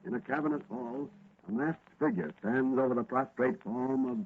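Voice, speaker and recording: An actor narrating a radio serial in a dramatic, suspenseful 1940s style. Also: male voice, medium pitch, normal speed, gravelly, lightly acted. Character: melodramatic male radio serial announcer. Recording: noisy, tinny old radio recording.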